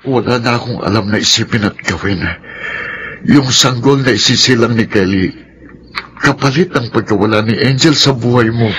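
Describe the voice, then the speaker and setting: An actor playing a troubled, weak-willed husband in a Filipino radio drama, pleading and overwhelmed. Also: male voice, medium pitch, normal speed, fairly bright tone, a little hiss or hum, lightly acted. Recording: music bed under the voice.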